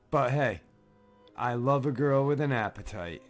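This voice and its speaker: A man's voice: mid-range voice, slow, faint music behind, lightly acted. A man playing a jokey young boyfriend in an audio story. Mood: teasing, fond. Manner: jokey, teasing. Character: teasing young boyfriend in his twenties. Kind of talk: audio drama dialogue.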